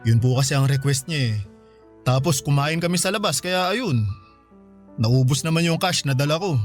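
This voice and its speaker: Casting: male actor for dutiful, put-upon young Filipino guy, fairly high voice, apologetic, over soft music. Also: normal speed, natural voice. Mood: weary, apologetic. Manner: earnest, hesitant, apologetic. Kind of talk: Filipino radio drama acting. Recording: music behind the voice.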